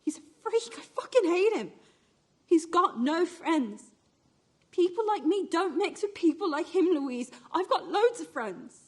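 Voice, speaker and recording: A woman's voice: very high-pitched, lively intonation, measured pace. An actress playing a scornful, defiant young teenage girl in a radio play, blunt and sulky.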